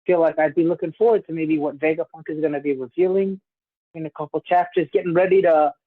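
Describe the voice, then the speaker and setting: A man's voice: a little high, steady tone, fast, dry recording. A young man co-hosting a casual podcast, laughing often and teasing his friend. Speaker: podcast co-host. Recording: phone-like narrow sound.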